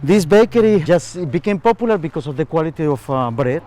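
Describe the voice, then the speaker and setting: A man's voice: on the high side, animated, fast talker, warm tone, a little hiss or hum. A man, a chef guiding a visitor on location, speaking earnestly with restarts. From Colombia. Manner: earnest, with frequent restarts and fillers. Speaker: chef and local guide. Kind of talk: on-location interview, explaining. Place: bakery, on location.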